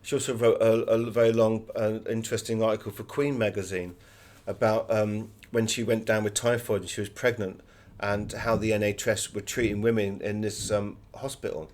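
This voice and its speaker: A man's voice: lowish voice, little pitch movement, normal speed, hardly any pauses, darkish tone. A man giving conversational, anecdotal answers as an author being interviewed.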